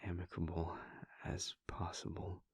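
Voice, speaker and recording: A man playing a gravel-voiced vampire prince. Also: low voice, little pitch movement, dry recording, in a whisper, heavily acted. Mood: reflective. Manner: hushed, halting and intimate, with soft sighs and pauses. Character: vampire prince, adult man, earnest and devoted. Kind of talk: audio roleplay aimed at the listener.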